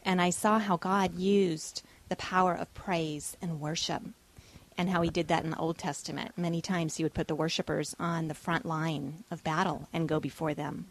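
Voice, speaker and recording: A woman's voice: sits low, steady tone, moderate pace, low-quality recording. A woman from Texas with a Texan accent, a radio show guest telling a heartfelt personal testimony. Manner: earnest, heartfelt storytelling.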